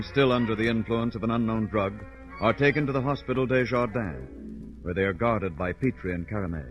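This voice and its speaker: A man narrating a scripted recap as a 1940s radio announcer, in a formal, dramatic manner. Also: mid-range voice. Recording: dull old radio recording. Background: music.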